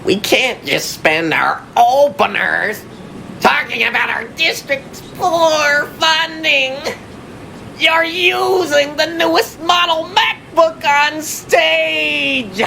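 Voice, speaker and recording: An actress in an amateur radio drama playing a combative young student debater, scornful and emphatic. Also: female voice, high voice, slow, vocal fry, pushed and bright, a little hiss or hum, exaggerated voice, rough recording. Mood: indignant.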